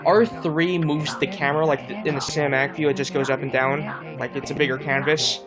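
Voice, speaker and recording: A man with a somewhat high voice chats casually as co-host of a gameplay video. He is halting and often hunts for the right word. Game music plays loudly behind him. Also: fairly even intonation, highs cut off. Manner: halting, searching for words. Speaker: let's play co-host.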